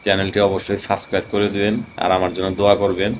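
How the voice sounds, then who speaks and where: Deep-voiced man telling a ghost story. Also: completely flat delivery, fast, slight background noise. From Tangail, Bangladesh. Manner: rambling, many fillers and restarts. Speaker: channel host. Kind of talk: casual storytelling.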